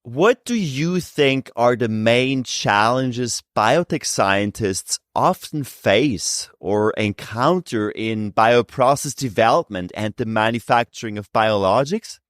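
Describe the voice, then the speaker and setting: A man hosting an interview podcast, asking deliberate questions. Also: mid-range voice, animated, no room sound, studio quality. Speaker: podcast host.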